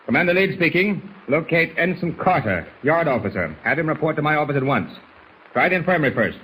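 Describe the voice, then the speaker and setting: An actor playing a stern naval base commander in a 1940s radio serial, brisk, clipped and authoritative. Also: male voice, on the high side, expressive, fairly bright tone. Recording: slightly noisy 1940s radio recording.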